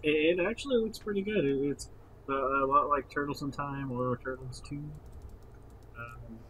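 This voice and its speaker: A man co-hosting a podcast, chatting casually in a halting way and often repeating his first words. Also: mid-range voice, bright, forceful tone, slight background noise, rough recording. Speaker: podcast co-host. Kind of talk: casual enthusiast chat.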